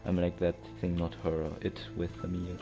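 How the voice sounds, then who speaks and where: A man's voice: deep voice, completely flat delivery, moderate pace. A man giving casual, rambling gameplay commentary with a sardonic manner. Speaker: let's player. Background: game music.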